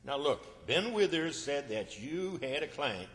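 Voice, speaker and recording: A man's voice: a little high, sing-song, measured pace, a bit bright. A man playing an anxious, exasperated rural fellow who stammers folksy protests in an old-time radio comedy. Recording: reverberant hall stage recording.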